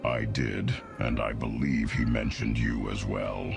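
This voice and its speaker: A man playing a huge, stoic, honourable hunter hero in a fantasy game, speaking slowly and tersely in a gravelly voice. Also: a bass voice, little pitch movement, music in the background, heavily acted. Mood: stoic, solemn. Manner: slow, terse, solemn. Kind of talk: video game character dialogue.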